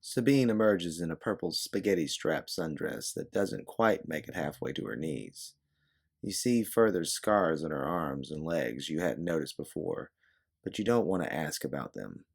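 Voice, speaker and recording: A man's voice: a bass voice, animated, normal speed, vocal fry, breathy edge. A man reading scripted second-person fiction as its narrator. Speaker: narrator and writer.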